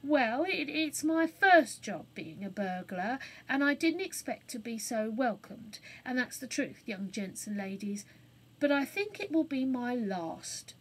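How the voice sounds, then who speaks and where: A woman voicing a frightened, remorseful working-class man, a novice burglar in a children's storybook, with dramatic drawn-out exclamations and colloquial speech. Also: fairly high pitch, very animated, slightly rough, full character voice, low-quality recording. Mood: rueful.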